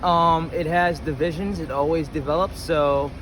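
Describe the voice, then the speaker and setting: Higher-voiced hesitant man in street noise. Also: fairly even intonation, moderate pace. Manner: hesitant, repeats questions before answering. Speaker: interviewee, music fan at a show. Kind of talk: casual chat. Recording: noisy outdoor urban street with traffic.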